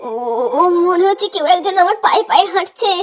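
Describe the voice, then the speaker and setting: Very high-pitched village housewife played by a woman, plaintive. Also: fast talker. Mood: terrified, pleading. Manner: plaintive rustic village speech with exclamations. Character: weary, complaining village housewife, frightened and superstitious. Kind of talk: horror cartoon dialogue.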